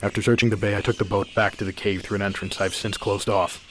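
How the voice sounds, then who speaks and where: A man as stern, low-voiced masked vigilante. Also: level tone, quick pace, fairly bright tone, a little hiss or hum, natural voice. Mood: grave, recounting. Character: stern, secretive masked vigilante father, stubborn and protective. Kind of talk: amateur superhero radio drama acting. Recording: music bed behind the voice.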